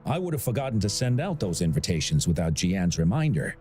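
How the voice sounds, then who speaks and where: Genial older festival director, animated delivery. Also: male voice, low voice, brisk, soft background music. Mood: friendly, chatty. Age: middle-aged. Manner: genial, chatty, expressive. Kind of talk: video game voice acting.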